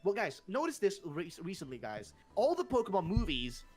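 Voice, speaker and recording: A man's voice: high voice, wildly swooping pitch, moderate pace, darkish tone, breathy edge, faint music behind. A young man with a Swedish accent, hosting a casual discussion and rambling with many fillers and restarts. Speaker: host.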